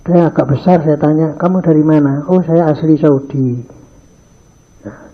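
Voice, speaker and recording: An older man leads a morning lesson for boarding school students. His voice sits fairly high, and he drifts into phrases and acts out short conversations. The recording is muffled and lo-fi. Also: steady tone, moderate pace. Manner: mixes in Javanese phrases, acts out dialogues in his stories. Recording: dull lo-fi archive recording.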